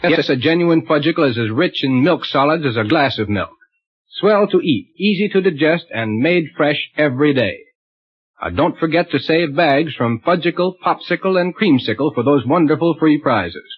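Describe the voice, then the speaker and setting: Husky American male radio announcer. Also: a little high, animated, moderate pace. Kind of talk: 1930s radio advertising pitch. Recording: muffled 1930s radio recording.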